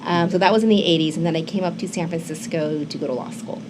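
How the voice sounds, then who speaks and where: A woman's voice: fairly low pitch, quick pace. A woman in her fifties, born in Hong Kong, chatting as an interview guest with many fillers and restarts. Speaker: immigration attorney, guest. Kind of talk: casual interview talk. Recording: local TV studio with noisy background.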